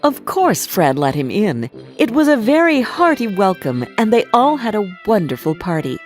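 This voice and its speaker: A woman reading a scripted storybook tale as narrator, with an expressive, deliberate delivery. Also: medium pitch, faint music behind.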